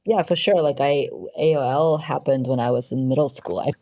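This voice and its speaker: A woman's voice: a bass voice, a little fast, dark tone, slight background noise. A woman of about forty hosting a podcast in casual conversation. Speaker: podcast host.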